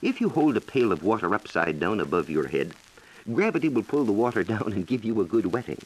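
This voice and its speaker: An American man explaining science in a measured, lecturing way, as a reporter in a scripted 1940s educational radio dialogue. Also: fairly low pitch, very animated, a little mellow, husky, poor audio. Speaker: science reporter and guest expert.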